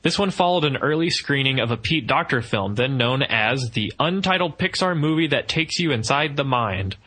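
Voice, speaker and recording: A man's voice: medium pitch, animated, normal speed, sharp tone. A man hosting a podcast, talking through ideas and reading aloud from a book. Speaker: podcast host.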